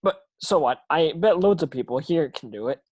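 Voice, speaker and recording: A man's voice: a little high, expressive, moderate pace, darkish tone, husky, no echo. A man reading an earnest, defensive young schoolboy wizard in a script read. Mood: defensive confusion. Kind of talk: online script table read.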